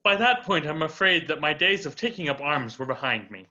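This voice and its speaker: A man's voice: a little high, sing-song, a little fast, sharp tone, exaggerated voice. Man playing a lilting, dryly witty aristocratic count. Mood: wryly unruffled. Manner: formal, dryly flippant, eloquent. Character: witty, unflappable aristocratic Russian count on trial. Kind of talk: amateur dramatic reading. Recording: dull, muffled lo-fi recording.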